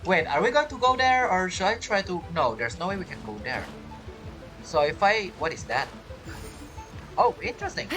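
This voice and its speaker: A woman's voice: fairly low pitch, wildly swooping pitch, normal speed, pauses often, a bit bright. A woman giving casual video game playthrough commentary, rambling and thinking aloud with questions to herself. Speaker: gamer, video creator. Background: game music.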